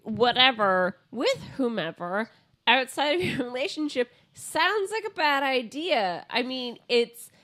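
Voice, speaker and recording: A woman's voice: high voice, sing-song, measured pace, pushed and bright. A woman co-hosting a comedy podcast, laughing and steering the talk back to the listener's question. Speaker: podcast co-host.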